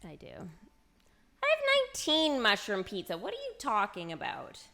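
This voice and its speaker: A woman hosts a casual game livestream in a very lively, swooping voice with a noticeable creak. She talks playfully to herself and her viewers, with music playing behind her. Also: mid-range voice, measured pace. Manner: chatty, playful self-talk, reacting aloud and bantering with viewers. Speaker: game streamer and host. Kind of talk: casual livestream chat over gameplay.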